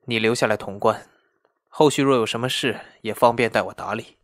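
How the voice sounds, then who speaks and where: A man's voice: mid-range voice, fairly even intonation, fairly quick, a bit bright, no room sound, clean studio sound, close to an everyday voice. A man acting an aloof, imperious immortal lord in a fantasy audio drama. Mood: cool, unhurried.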